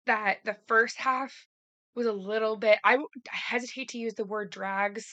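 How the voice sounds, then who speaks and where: A woman co-hosting a casual podcast chat, hoarse from a cold, talking in a chatty way with lots of restarts. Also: fairly high pitch, fairly even intonation, moderate pace, bright, forceful tone, no room sound. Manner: chatty, many fillers and restarts. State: hoarse from a cold, losing her voice. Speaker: podcast co-host.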